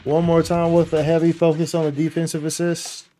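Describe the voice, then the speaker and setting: A man's voice: fairly high pitch, monotone, brisk, warm tone. A man gives casual gameplay commentary as a livestreamer, with game music playing behind him. His talk is slangy and loose, and he thinks aloud about the game as he goes.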